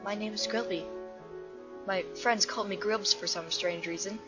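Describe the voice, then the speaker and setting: A woman's voice: mid-range voice, fairly even intonation, normal speed, close to an everyday voice. A woman voicing a shy, kindhearted young boy with polite, hesitant speech in a fan comic dub. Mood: gentle, amused. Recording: muffled recording under loud music.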